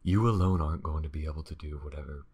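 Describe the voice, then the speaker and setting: A man playing a weary, shaken vampire in an ASMR audio roleplay, confiding in halting, haunted phrases with a breathy, husky whisper. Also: extremely low pitch, darkish tone, exaggerated voice. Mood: halting, protective. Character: weary, shaken, starving male vampire survivor.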